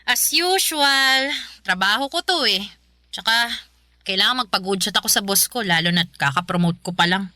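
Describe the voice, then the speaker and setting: A woman's voice: medium pitch, expressive, normal speed, bright, forceful tone, no room sound, clean studio sound. An actress playing an irritable, work-obsessed young Filipina career woman in a radio drama, snappy, sarcastic and scolding. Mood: harried, defensive.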